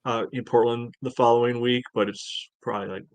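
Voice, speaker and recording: A man co-hosting a sports podcast, reasoning aloud in a measured, hedging, conversational way. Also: mid-range voice, steady tone, clean tone, dry recording, rough recording. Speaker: podcast co-host. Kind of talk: casual sports discussion.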